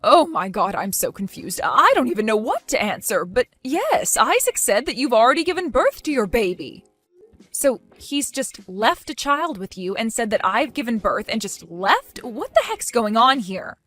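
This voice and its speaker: Woman doing a quiet hesitant young pregnant wife, high lively voice, music low behind. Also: moderate pace. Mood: bewildered. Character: soft-spoken young pregnant wife, confused and patient.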